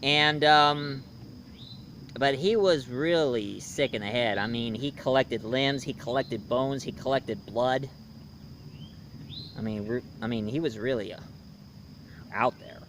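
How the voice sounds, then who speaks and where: Male reviewer, casual rambling commentary, halting delivery, medium pitch, faint outdoor noise with insects. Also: fairly bright tone. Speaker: host, film and book reviewer. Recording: noisy outdoor recording with insects.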